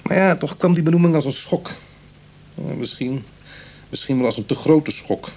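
An actor playing a charming, self-mocking ex-burglar in a Dutch radio drama, with a Netherlands accent and a wry, chatty manner. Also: male voice, a little high, normal speed, a little mellow, lightly acted. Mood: wry, reflective. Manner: teasing, hesitant asides, chuckling irony.

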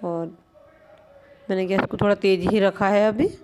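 A woman's voice: medium pitch, steady tone, normal speed, background noise. Woman patiently explaining a home recipe. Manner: patient, explanatory, keeps pointing things out. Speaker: home cooking channel host. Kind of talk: step-by-step cooking instruction. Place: home kitchen at a gas stove.

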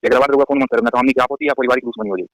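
A man's voice: mid-range voice, little pitch movement, very fast, no room sound. A man with a Bhojpuri accent chatting casually in a recorded phone call.

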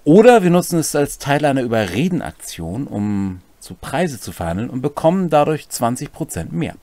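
A man's voice: mid-range voice, sing-song, moderate pace, warm tone, no room sound. A middle-aged man casually explains game rules, adding wry, colloquial asides. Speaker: host of a tabletop role-playing rules series. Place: small furnished room at home, indoors.

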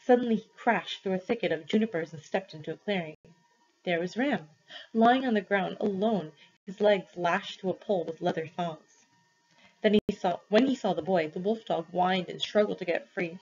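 A woman's voice: on the low side, moderate pace, darkish tone, husky, muffled recording. A woman reading a story aloud expressively, as a teacher does for students.

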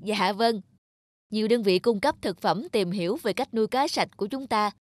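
A woman's voice: mid-range voice, normal speed, no room sound, natural voice. A woman voicing a respectful, eager young male farm worker in a cartoon. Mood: respectful reporting. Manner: deferential. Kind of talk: cartoon dub acting.

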